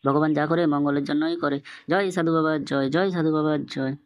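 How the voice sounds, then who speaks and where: A man's voice: a little high, steady tone, fast, no room sound, lo-fi sound, natural voice. A man voicing a hot-headed but devout middle-aged village father in a Bengali comedy cartoon. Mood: devout, obedient.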